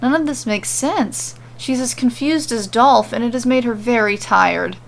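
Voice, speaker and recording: A woman's voice: on the high side, unhurried, creaky. A woman hosting and narrating a story podcast in an informal, conversational way. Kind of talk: casual chat and expressive story narration.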